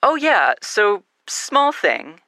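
A woman's voice: medium pitch, big pitch swings, drawn-out words, bright, forceful tone, no room sound. A woman playing herself in a scripted comic radio skit, breezy and matter-of-fact.

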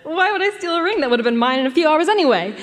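Woman playing high, excitable young fiancée. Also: racing. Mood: flustered. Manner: flustered, emphatic. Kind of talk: live radio mystery drama acting. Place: live performance hall. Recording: reverberant stage in a large hall.

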